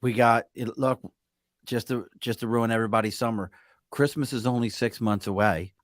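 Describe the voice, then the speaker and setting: A man's voice: mid-range voice, normal speed, dry recording, clean studio sound. A man hosting a podcast with a Baltimore accent, chatting casually and joking, often slipping into playful mock voices. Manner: jokey, animated, breaks into mock voices. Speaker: podcast host. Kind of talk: casual interview chat.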